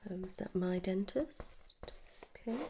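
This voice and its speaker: A British woman whispering softly and gently in an ASMR medical roleplay, reassuring and unhurried, close to the mic. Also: medium pitch, background noise. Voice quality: breathy whisper and hushed soft voice. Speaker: ASMR performer playing a dental receptionist or dentist.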